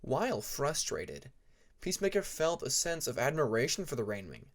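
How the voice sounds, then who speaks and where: A man narrating scripted fiction as an audiobook reader, deliberate and with dramatic pauses. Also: medium pitch, sing-song.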